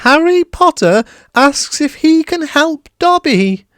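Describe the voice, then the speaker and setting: A shrill, wobbly little house elf, earnest and forever fawning, bursting with nervous devotion. The voice leaps high and swings wildly up and down. It is close and dry, as in an audiobook booth. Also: male voice, slow pace, no room sound, full character voice. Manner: squeaky, excitable, refers to himself by name. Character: small earnest male house elf, servile and tearful.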